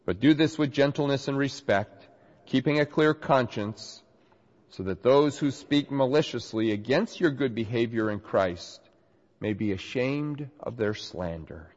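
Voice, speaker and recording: A man preaching a sermon and reading scripture while getting over a cold, his voice a little nasal and raspy, in an earnest, emphatic manner. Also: medium pitch, a little slow. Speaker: pastor. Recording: dull recording with long hall reverb.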